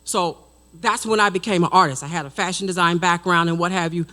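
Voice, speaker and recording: A woman artist in the audience giving an excited, effusive comment. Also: fairly low pitch, fairly quick.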